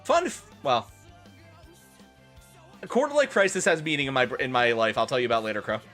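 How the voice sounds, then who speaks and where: A man's voice: medium pitch, wildly swooping pitch, fairly quick, a bit bright, soft background music. A man hosting a livestream, bantering crudely and jokingly between bits of theatrical fan-fiction reading. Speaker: livestream host.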